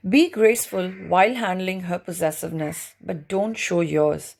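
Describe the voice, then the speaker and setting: Woman narrating, with a creaky edge. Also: fairly low pitch, moderate pace, a little mellow. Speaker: narrator, reading a book chapter. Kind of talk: scripted audiobook narration of an astrology book.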